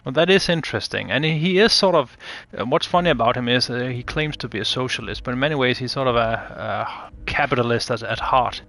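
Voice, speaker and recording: A man's voice: medium pitch, a little fast, gravelly, hardly any pauses, bright, forceful tone. A man in a casual analytical discussion, speaking tentatively and thinking aloud as an interviewer. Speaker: interviewer researching game voices.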